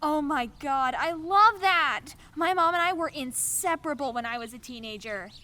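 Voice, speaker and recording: A woman's voice: high-pitched, expressive, moderate pace, sharp tone. A woman acting in a radio play as a chatty, awkward, eager-to-please young neighbor who gushes and apologizes. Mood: gushing, chatty. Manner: gushing, apologetic, hesitant.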